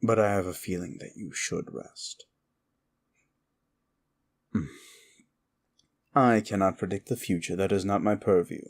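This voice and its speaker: A man's voice: deep voice, steady tone, takes it slowly, long pauses, heavily acted. A man voicing a sardonic, seductive demon of desire in a comfort ASMR roleplay, teasing and musing without hurry. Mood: sardonic, weary.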